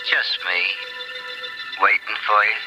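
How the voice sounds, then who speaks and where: A man's voice: lowish voice, little pitch movement, slow. An actor voicing a dead man's menacing spirit, taunting and insistent, in old-time radio horror. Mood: sinister, coaxing. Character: menacing dead man's voice haunting from a severed hand. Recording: old radio drama with music behind.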